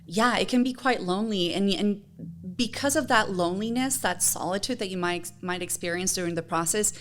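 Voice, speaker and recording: A woman's voice: mid-range voice, brisk, fairly bright tone, slight background noise. A woman from Honduras co-hosting a podcast, chatting casually and personally in an earnest, encouraging manner. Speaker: podcast co-host.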